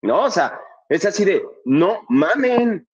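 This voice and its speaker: A man argues with heat and conviction, stacking rhetorical questions and blunt swearing. His voice sits fairly high for a man. The recording sounds dull and lo-fi. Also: sing-song, slow pace, breathy edge. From Mexico, Coacalco in the State of Mexico. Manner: passionate, emphatic, firing rhetorical questions, swears freely. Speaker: theatre artist in a panel conversation. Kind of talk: casual festival talk.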